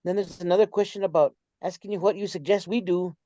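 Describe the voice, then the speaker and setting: A man hosting reads viewer comments aloud in a measured, plain way. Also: high voice, fairly even intonation, dark tone, no room sound. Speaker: host reading viewer comments. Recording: dull, muffled lo-fi audio.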